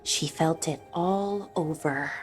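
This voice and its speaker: A woman character reads florid prose in a game. Her low voice lingers deliberately on phrases, slow, over faint music. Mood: savoring. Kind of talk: video game voice acting.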